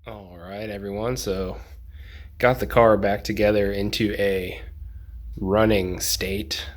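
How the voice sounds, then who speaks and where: A man's voice: lowish voice, takes it slowly, slight background noise. A young man with a congested voice from a cold, casually talking through work on his project car in a home garage, with lots of ums and tips. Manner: relaxed, rambling, lots of ums, offering tips. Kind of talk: casual garage build vlog.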